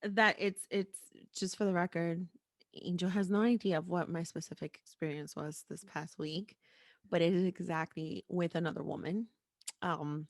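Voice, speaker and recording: A woman's voice: lowish voice, steady tone, moderate pace, no echo, studio quality. A woman hosting a podcast in a frank, informal, unscripted way, repeating phrases for emphasis. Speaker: podcast host. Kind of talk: candid, unscripted podcast conversation.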